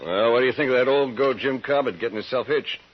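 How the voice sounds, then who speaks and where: A spiteful, gossiping frontier homesteader, played by a male actor who needles and repeats himself in an American accent. It is 1950s western radio acting, on a muffled recording with clear background noise. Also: mid-range voice, fast, lightly acted. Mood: sneering. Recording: dull, noisy old radio recording.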